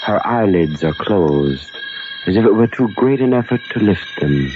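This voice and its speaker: An actor playing a solemn, ominous host, narrating portentously in old radio horror style. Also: male voice, lowish voice, lively intonation, normal speed, close to an everyday voice. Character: ominous, solemn male host of a mystery anthology. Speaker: announcer and narrator. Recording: muffled old radio recording. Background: music.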